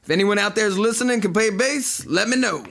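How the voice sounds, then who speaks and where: An actor playing a cocky, theatrical vampire rock star, telling boastful and flippant stories in a podcast drama. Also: male voice, high voice, brisk, a bit bright. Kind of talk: fiction podcast acting, mock interview. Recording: music playing behind the voice.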